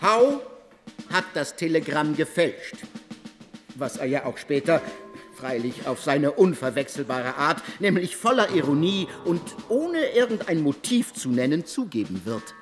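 Higher-pitched male radio narrator, formal. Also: sing-song, measured pace, faint music behind. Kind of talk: scripted documentary narration in a radio play.

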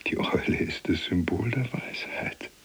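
An actor playing a deferential, superstitious prison cellmate in a radio play, speaking ominously in a breathy, creaky voice. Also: male voice, sits low, a little slow, a bit bright, whispered. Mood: ominous, hushed. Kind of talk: German radio play acting.

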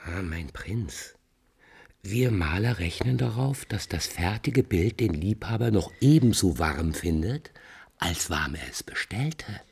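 A man playing a courteous, proud court painter in 1950s German radio drama, speaking in a measured, deferential but pointed way. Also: deep voice, expressive, creaky, darkish tone, slightly rough, exaggerated voice. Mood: earnest, hurt pride.

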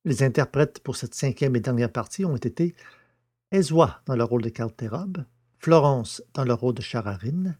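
A man's voice: medium pitch, animated, moderate pace, a little mellow. A man presenting a podcast as host and narrator, reading scripted credits and announcements.

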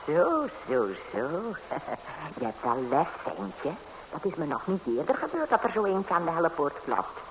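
A woman's voice: deep voice, huge pitch swings, moderate pace, noisy background. An actress playing a sly, chuckling old woman who keeps house in hell, clucking motherly and mockingly in a 1960s radio fairy tale. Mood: amused, sly.

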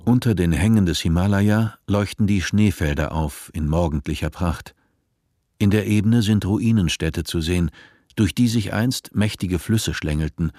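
A man narrating a radio play in a measured, literary and composed manner. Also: low voice, mellow, dry recording, studio quality.

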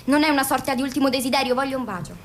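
An actress in an Italian radio play playing a doomed young woman prisoner, defiant yet frightened, brittle and halting. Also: sits high, slight background noise, lightly acted. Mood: resigned, yearning.

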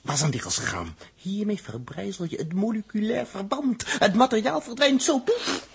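Excitable tinkering inventor, noisy old recording. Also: male voice, sits high, moderate pace, creaky, exaggerated voice. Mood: excited. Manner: excitable, muttering to himself, exclamatory. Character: impulsive, absorbed young male spaceship tinkerer-inventor. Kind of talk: 1960s Dutch radio play acting. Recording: noisy vintage 1960s radio recording.

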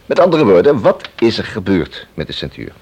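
An actor playing a matter-of-fact investigator in a radio play, briefing a colleague in a businesslike, step-by-step way. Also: male voice, on the low side, wildly swooping pitch, brisk, darkish tone, breathy edge, heavily acted. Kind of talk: Dutch radio play acting.

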